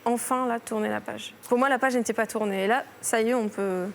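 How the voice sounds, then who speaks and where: Halting woman telling her story. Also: on the high side, steady tone, gravelly, a little hiss or hum. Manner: halting, restarts, trailing off. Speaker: guest. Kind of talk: emotional personal testimony. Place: television studio.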